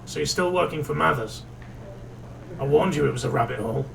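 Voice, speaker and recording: An actor playing an overworked, curt and dry police marshal with a gravelly voice in a noir audio drama. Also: male voice, on the high side, fairly quick. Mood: dry, exasperated. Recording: noisy, slightly distant mic.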